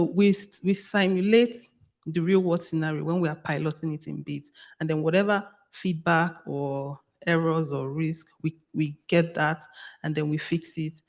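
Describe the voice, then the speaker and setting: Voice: mid-range voice, darkish tone. A speaker with a Nigerian accent giving an explanatory answer in a panel discussion, stressing points by repeating phrases. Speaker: panelist on AI language models.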